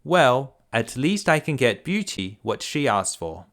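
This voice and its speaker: A man's voice: mid-range voice, very animated, measured pace, a bit bright. A man voicing a kindly, meek merchant father in a children's fairy tale, in a mild, obliging manner.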